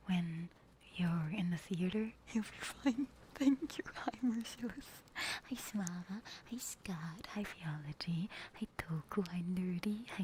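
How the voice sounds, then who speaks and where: A woman doing a casual ASMR livestream in a breathy whisper and hushed stage whisper, flustered and halting, often repeating her words. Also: medium pitch, a little hiss or hum. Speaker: livestreamer hosting an ASMR stream.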